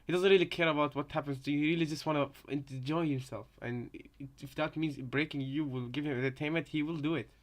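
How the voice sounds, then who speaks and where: A man's voice: fairly high pitch, steady tone, quick pace, hardly any pauses. A man chatting casually as a reaction co-commentator, rambling and circling back over his own points. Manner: rambling, repeats points, often adds "you know". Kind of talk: casual chat, speculative commentary.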